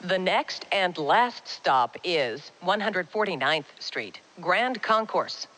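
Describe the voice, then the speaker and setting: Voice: medium pitch, expressive, slow, sharp tone, poor audio. A transit announcer reading scripted train announcements.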